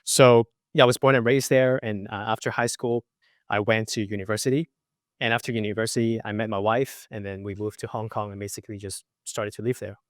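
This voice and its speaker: A man in his twenties from Macau, a podcast guest telling his story in a relaxed way with lots of fillers. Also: fairly low pitch, a little fast, dry recording, studio quality. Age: 20s. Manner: relaxed, many fillers like you know. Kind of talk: casual interview.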